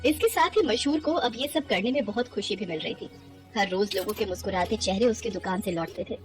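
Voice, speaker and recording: A woman giving expressive scripted narration for a cartoon moral story. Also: mid-range voice, brisk, music in the background.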